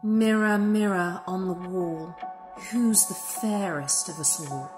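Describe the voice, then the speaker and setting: A woman's voice: on the low side, slow pace, music in the background. A woman voicing a vain, cruel and jealous queen who snaps and snarls in a storybook. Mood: imperious. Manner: snapping, snarling.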